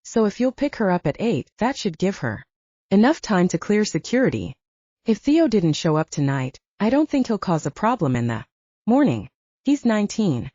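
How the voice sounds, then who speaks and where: A woman voicing a calm, protective and courteous male bodyguard in an audiobook. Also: medium pitch, moderate pace, dry recording, highs cut off. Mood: assured.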